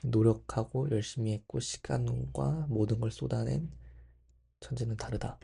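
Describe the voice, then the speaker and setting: A man's voice: mid-range voice, steady tone, moderate pace, vocal fry, warm tone, breathy edge. A young man talking to himself in a rambling, thinking-aloud way while he studies, often breaking off and restarting. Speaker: medical student. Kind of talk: casual study vlog monologue.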